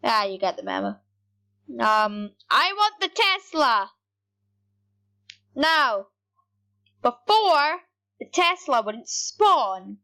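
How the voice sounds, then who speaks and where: A woman giving rambling, excitable commentary over her gameplay, talking straight to her viewers. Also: fairly high pitch, very slow, long pauses. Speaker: gaming YouTuber.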